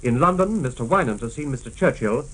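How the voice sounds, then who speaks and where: A man's voice: mid-range voice, lively intonation, fast, fairly bright tone. A man reads a formal, clipped 1940s radio news bulletin on a crackly old recording. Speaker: radio newsreader.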